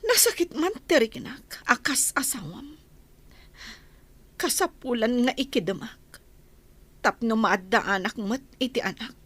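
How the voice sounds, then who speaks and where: A woman voicing an anxious wife who pleads slowly and emotionally in a radio drama. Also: on the high side, lively intonation, a bit bright.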